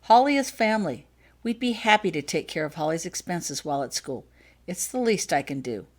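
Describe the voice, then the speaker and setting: A woman voicing a courteous, quietly commanding wizard father in an audiobook story, speaking smoothly and persuasively. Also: fairly low pitch, expressive, a little fast. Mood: reassuring. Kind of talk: acted fanfiction audiobook dialogue.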